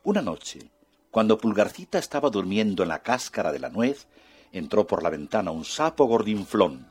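Male narrator for a children's audiobook, husky, very animated. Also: lowish voice, moderate pace. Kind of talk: children's storytelling.

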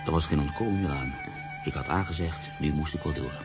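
A man's voice: very deep voice, fast talker. An actor playing a proud, troubled middle-aged writer in a radio drama, formal and hesitant, brooding as he narrates. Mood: brooding, reflective. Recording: radio play with music underneath.

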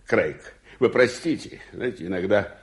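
A man's voice: fairly low pitch, expressive, measured pace. An actor playing a blustering uncle, a proud war veteran turned businessman, theatrically swinging between indignation and courtesy in a radio play. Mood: courteous. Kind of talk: 1980s Soviet radio play acting.

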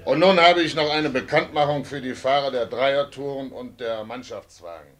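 An actor playing a formal depot official announcing to staff in a radio play. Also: male voice, mid-range voice, normal speed, a bit bright, natural voice. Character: official male foreman announcing names to staff. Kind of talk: German radio play acting.

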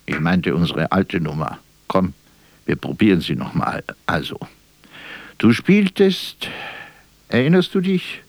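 An actor playing a faded old vaudeville performer in a radio play, vain and forgetful, talking theatrically and haltingly with a gravelly, husky voice. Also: male voice, sits low, very animated, slight background noise, exaggerated voice. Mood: nostalgic, coaxing. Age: older. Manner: theatrical, halting, breaking off and repeating himself. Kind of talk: radio drama monologue.

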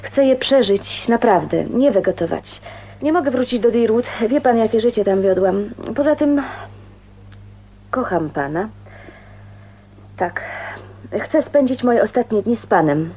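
A woman's voice: a little high, animated, unhurried, long pauses, warm tone, slight background noise. An actress in a radio play voicing a shy but determined, well-bred young woman, polite, hesitant and sincere. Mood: earnest.

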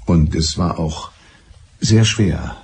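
An actor in a German radio drama playing a mild, evasive, smugly clever betrayed husband who talks in a deliberate, circuitous way. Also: male voice, rumbling low, a little mellow, husky, exaggerated voice. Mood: resigned, earnest.

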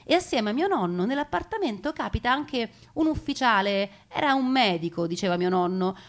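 A woman narrating family war memories in a theatrical, expressive way, with emphatic pauses. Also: on the high side. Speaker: storyteller performing a narrative stage piece.

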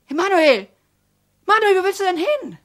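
A woman's voice: sing-song, normal speed. A woman playing a bitter, furious woman who hurls insults at a former lover in a radio play. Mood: contemptuous. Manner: insulting, shrill and contemptuous. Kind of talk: radio drama acting.

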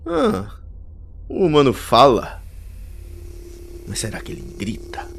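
A man's voice: medium pitch, huge pitch swings, slow, vocal fry, fairly bright tone, a little hiss or hum, exaggerated voice. An actor playing an arrogant, mocking male villain and would-be conqueror in an audio drama, with a theatrical, taunting delivery. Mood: menacing, taunting. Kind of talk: scripted audio drama acting.

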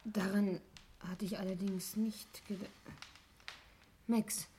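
A woman playing an anxious, shaken niece in a 1960s radio crime drama, asking softly and hesitantly. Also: mid-range voice, level tone, takes it slowly, natural voice. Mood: subdued. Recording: old radio recording with faint noise.

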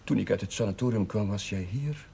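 Serious caring priest guy, soft dark mellow voice, old tv drama, bit noisy. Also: lowish voice, a little fast, gravelly. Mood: surprised, tender. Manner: gentle, serious, concerned. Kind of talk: naturalistic television drama acting. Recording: noisy old television soundtrack.

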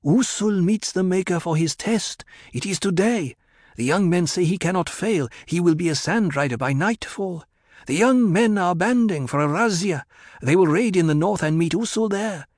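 A man's voice: sits high, animated, moderate pace, no room sound, clean studio sound, voice pushed up. A man voicing an urgent, earnest tribeswoman in an audiobook, speaking in plain declarations. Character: urgent tribeswoman bringing word to her priestess.

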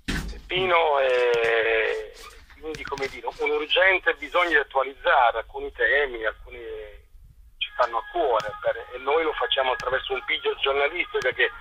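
A man with a husky voice, speaking as a journalist in a radio interview, with insistent, rhetorical, halting phrasing. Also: mid-range voice, pushed and bright, slight background noise. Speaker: journalist and stage narrator, interview guest. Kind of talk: impassioned phone interview about a stage show. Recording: dull, lo-fi telephone line.

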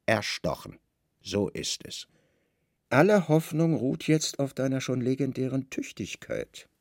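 A man playing a brooding, commanding Roman governor in a radio play, speaking with measured authority and pointed emphasis. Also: medium pitch, very animated, creaky, a little mellow, no echo, studio quality, voice pushed up. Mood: grimly certain. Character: brooding Roman governor, commanding, wry, insistent on his hunches.

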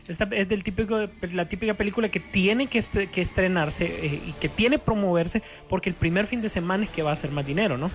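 High-pitched Honduran male radio host. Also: a little fast, few pauses. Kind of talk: casual, chatty film talk. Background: background music.

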